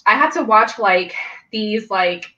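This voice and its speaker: A woman's voice: medium pitch, lively intonation, slow, sharp tone, dull audio. A woman whose first language is Vietnamese, chatting as a panelist and telling stories full of "like" fillers over a video call. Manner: chatty storytelling, many "like" fillers. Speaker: panelist, engineer. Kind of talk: casual panel chat.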